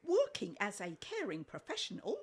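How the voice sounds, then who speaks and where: A woman playing a nosy, domineering mother in a radio comedy, husky and deliberate. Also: mid-range voice, wildly swooping pitch. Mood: deliberate, haughty. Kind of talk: radio comedy play acting.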